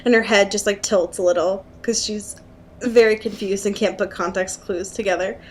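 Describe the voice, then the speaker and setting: A woman's voice: mid-range voice, fairly even intonation, normal speed. A young American woman co-hosting a casual podcast, chatting in slangy, exclamatory, sweary language. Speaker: podcast co-host. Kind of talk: casual chat, recapping a book.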